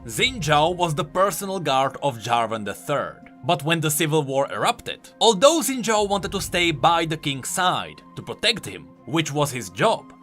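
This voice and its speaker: A man narrating a scripted explainer as host, with animated, emphatic commentary. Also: a little high, measured pace, hardly any pauses. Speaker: host of a game lore video. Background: music.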